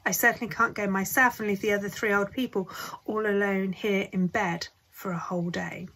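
A woman voicing a calm, sensible mother trying to keep her family steady, in a storybook reading. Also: mid-range voice, moderate pace, slightly rough, low-quality recording. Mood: settled. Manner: soothing, gentle.

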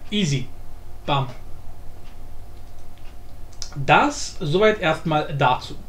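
A man presenting a software tutorial casually, with informal, jokey asides and frequent self-interruptions. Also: fairly high pitch, animated, extremely slow. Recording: roomy echo, faint background noise.

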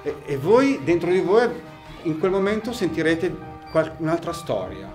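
A man talking to students in an animated way, addressing them directly. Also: on the high side, moderate pace, over music. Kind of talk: storytelling to students.

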